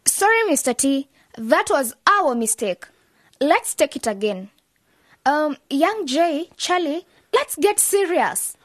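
A very high-pitched, bossy young female singer from a radio drama. She is impatient and commanding, with a sharp tongue. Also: sing-song, slow, no echo, clean studio sound, full character voice. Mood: businesslike.

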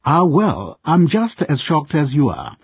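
A man's voice: on the high side, wildly swooping pitch, measured pace, husky, dry recording, phone-quality sound. A man hosting and narrating a radio drama with scripted delivery.

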